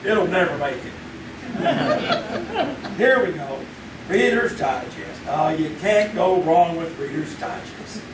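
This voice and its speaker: An actor playing a sleepless small-town husband in the 1950s who stammers when startled, in a live radio play. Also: male voice, high-pitched, big pitch swings, slow, long pauses, a crowd in the background, heavily acted. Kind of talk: live old-time radio drama acting. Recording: roomy live stage, distant mic, noisy.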